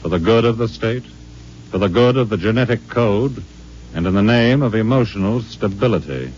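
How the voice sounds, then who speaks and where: An actor playing a cold, haughty, authoritarian director in a 1950s American radio drama, speaking in a clipped, formal, sardonic way. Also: male voice, lowish voice, moderate pace, lightly acted. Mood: coldly menacing. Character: cold, authoritarian male director of a dystopian state. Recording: muffled, humming old radio recording.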